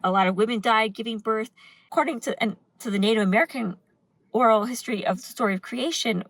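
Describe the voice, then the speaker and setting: A woman's voice: on the high side, fast, a bit bright, no room sound. A woman talking earnestly and emphatically in a casual vlog monologue, speaking off the cuff. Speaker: video host.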